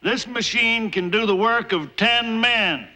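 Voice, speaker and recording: A man's voice: high voice, a little slow, pushed and bright, heavily acted. An actor playing a brash, blunt railroad boss who declaims like a showman in a television folk-tale drama. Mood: boastful. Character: brash railroad boss showing off his new machine.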